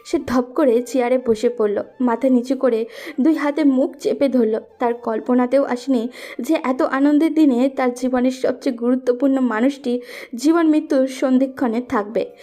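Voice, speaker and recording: A woman narrates a scripted love story. Her voice is fairly high in pitch yet soft-edged and mellow, with little brightness. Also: normal speed.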